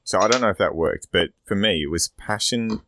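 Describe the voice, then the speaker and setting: A man's voice: fairly low pitch, fairly quick, noisy background. A man with an Australian accent speaking as a podcast host in a casual, rambling, opinionated discussion. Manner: rambling, sweary.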